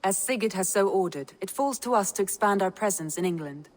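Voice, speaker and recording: A woman's voice: mid-range voice, lively intonation, fairly quick, in a large hall, a little hiss or hum, lightly acted. A woman acting as a composed Viking advisor in a game, briefing in a formal, explanatory manner. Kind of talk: dramatic game dialogue.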